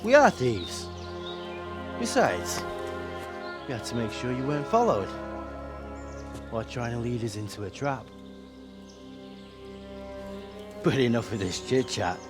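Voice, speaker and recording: Sardonic thief, animated delivery. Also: male voice, medium pitch, measured pace, pauses often, over music. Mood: mocking. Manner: sardonic, blunt. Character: brash, sardonic, suspicious medieval thief, adult man. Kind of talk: video game cutscene acting.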